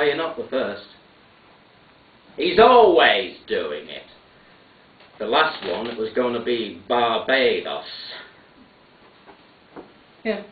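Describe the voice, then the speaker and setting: A man's voice: mid-range voice, a little hiss or hum. A middle-aged man, telling a dramatic monologue in a dry, wry, understated way, heard in a tinny, distant, echoey recording. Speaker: monologue narrator.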